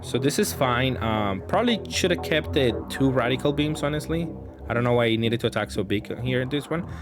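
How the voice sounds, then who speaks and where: A man's voice: medium pitch, expressive, a little fast, over music. A man giving casual gameplay commentary, thinking aloud in a hesitant, self-correcting way. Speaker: gaming content creator commentating matches.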